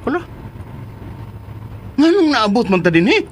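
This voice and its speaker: An actor with a Cebuano accent playing a conniving, exclamatory lovestruck schemer in a radio drama. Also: male voice, very high voice, big pitch swings, unhurried, heavily acted. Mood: bewildered. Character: scheming, lovestruck male schemer bossing his sidekick. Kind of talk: Philippine radio drama acting. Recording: faint vehicle noise. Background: car ambience.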